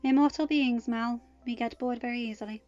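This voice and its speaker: A woman voicing a protective, regal goddess mother who speaks in a measured, firm and dryly amused way, in a storybook reading. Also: a little high, steady tone, darkish tone, highs cut off, soft background music, natural voice. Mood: gently amused.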